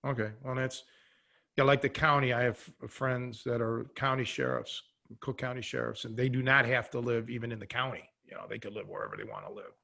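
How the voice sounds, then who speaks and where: American man, bright gravelly voice. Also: medium pitch, fairly even intonation, brisk. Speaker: podcast host. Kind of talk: casual podcast conversation.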